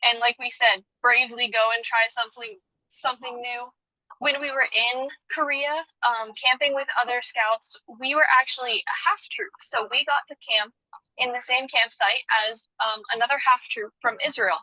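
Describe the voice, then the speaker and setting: Bright-voiced young woman, earnest testimonial. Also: fairly high pitch, fairly even intonation, moderate pace, no room sound, highs cut off. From Florida Panhandle. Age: young adult. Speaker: former jamboree participant. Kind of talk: personal testimonial.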